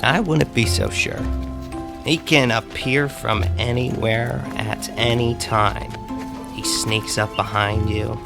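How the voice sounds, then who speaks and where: A man playing a mysterious mystic traveler in an audio drama, telling menacing tales in an ominous, deliberate manner. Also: lowish voice, gravelly. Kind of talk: audio fiction podcast acting.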